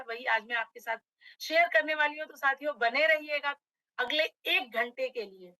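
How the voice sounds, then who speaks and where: Woman, middle-aged, from Uttar Pradesh; motivational webinar trainer, slightly hoarse, high voice, dry close sound. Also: bright, forceful tone, no echo, rough recording. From Uttar Pradesh, India. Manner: addresses audience as friends, motivational. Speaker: direct selling trainer. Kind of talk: online webinar presentation.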